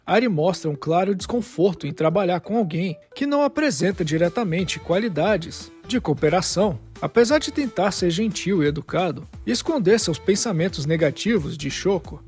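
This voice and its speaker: A man's voice: sits high, darkish tone. A man narrating a scripted dramatic story as its storyteller.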